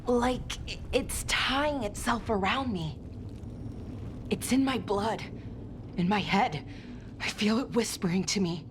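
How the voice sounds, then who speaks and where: An actress playing a gentle, brave, earnest young woman in a fantasy game, speaking a little hesitantly. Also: a little high, background noise, natural voice. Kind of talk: scripted fantasy game dialogue.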